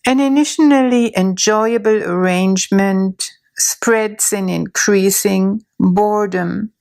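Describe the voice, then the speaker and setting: A woman narrating a guided imagery story in a slow, soothing way, with long pauses. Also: medium pitch, a little mellow.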